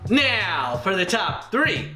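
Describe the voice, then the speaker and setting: A man's voice: high voice, sing-song, slow, sharp tone, reverberant, faint music behind. A man hosting a scripted comedic movie review in a playful, punning, showman-like way.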